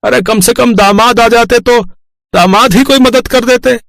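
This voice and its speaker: A man's voice: very high voice, steady tone, unhurried, vocal fry, fairly bright tone, dry recording, studio quality, pitched above the performer's own voice, exaggerated voice. A man voicing a nosy, meddling middle-aged village neighbour in a cartoon, chattering in exclamations. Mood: meddling, fake concern.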